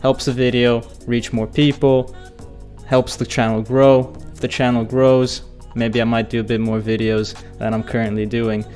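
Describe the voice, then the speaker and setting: An excitable man hosting a live football fan channel, calling his viewers "guys" as he reads their comments. His voice is hoarse and going from shouting, set at an ordinary middle pitch. Faint music plays underneath. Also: moderate pace. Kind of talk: live-stream fan commentary and chat reading.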